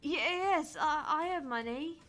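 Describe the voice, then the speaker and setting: A woman's voice: high-pitched, a little slow, a bit bright, faint music behind, pitched above the performer's own voice. A woman voicing a small, nervous young girl who stammers while pretending to be a ghost in a visual novel. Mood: nervous bluffing. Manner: hesitant, stammering bluffing.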